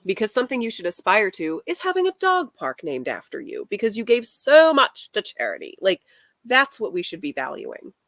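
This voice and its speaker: A woman talking in a casual live chat about fashion, deadpan and wry. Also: mid-range voice, moderate pace, dry recording. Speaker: fashion commentary creator.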